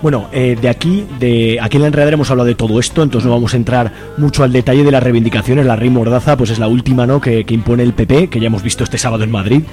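A man's voice: medium pitch, fairly even intonation, racing, dark tone, faint music behind. A man with an accent from Spain hosting a radio interview in an informal, explanatory way, often adding tag questions. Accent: Spanish from Spain. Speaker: radio host.

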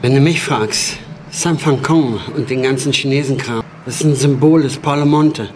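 An actor playing a knowing friend who explains cult lore with assurance in a radio drama. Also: male voice, mid-range voice, normal speed, a little hiss or hum, natural voice. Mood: knowing, intrigued. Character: knowing male friend, expert on Cuban cults. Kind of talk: German radio drama acting.

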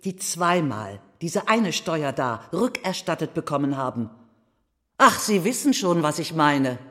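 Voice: mid-range voice, fairly bright tone. A nosy sardonic questioner in a radio play, takes their time, acts confused, fake polite with snide little asides. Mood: feigned hesitant politeness. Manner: halting, feigned confusion, sarcastic asides. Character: persistent questioner at a campaign event, mockingly polite, sardonic. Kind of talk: theatrical radio play monologue.

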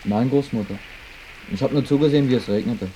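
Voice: medium pitch, fast talker, dark tone, natural voice, low-quality recording. A performer playing an obedient, dutiful young village boy in a radio play. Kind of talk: German radio play acting. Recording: faint sizzling ambient noise.

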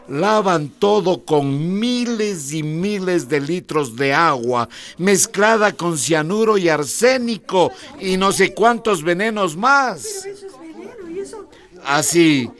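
An actor playing an earnest village schoolteacher in a radio drama, didactic and deliberate as he rallies his neighbours. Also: fairly high pitch, fairly bright tone. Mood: explaining gravely.

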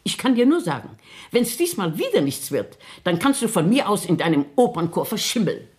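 A woman's voice: medium pitch, very animated, a little fast, a little mellow, natural voice. An actress in a German radio play voicing a shrewd, bossy old grandmother, emphatic and sardonic in a theatrical way. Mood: scolding, sardonic. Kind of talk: German radio drama acting.

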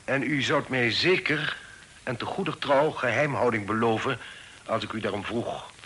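An actor playing a secretive, authoritative middle-aged father in hiding in a period radio drama, speaking formally and deliberately. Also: mid-range voice, bright, forceful tone, breathy edge. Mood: measured, courteous. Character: secretive, authoritative fugitive father, stern and shrewd. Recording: noisy old radio drama recording.

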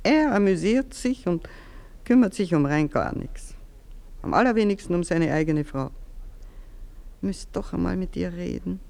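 A commanding older baroness, her voice rising and falling with lively inflection. She takes charge in brisk, clipped remarks, in the manner of a classic radio play. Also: on the low side, vocal fry. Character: aristocratic older woman, the baroness of the house. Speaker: baroness and mother.

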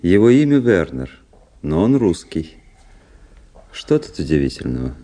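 Low, mellow gentleman narrator. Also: very animated, measured pace. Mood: detached, reflective. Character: cool, self-analysing young gentleman officer recounting his life. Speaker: narrator and lead character. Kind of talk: dramatic literary narration in a radio play.

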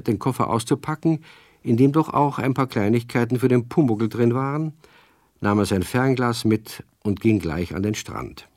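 A man narrating a children's radio play in a measured storytelling manner. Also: mid-range voice, a little mellow, no echo, clean studio sound.

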